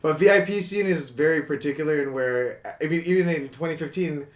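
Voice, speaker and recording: A man in his twenties from Indonesia, a guest on a podcast, telling his story in a relaxed, rambling way with lots of "you know what I mean.". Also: fairly high pitch, a little fast. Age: 20s. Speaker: guest, brand co-founder. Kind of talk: casual podcast interview. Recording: echoey room.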